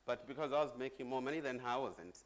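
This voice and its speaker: A man's voice: mid-range voice, animated, quick pace, very noisy. Zambian guy talking at a conference, chatty, cracks jokes with the crowd, on a stage mic. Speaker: conference speaker. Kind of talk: conference presentation.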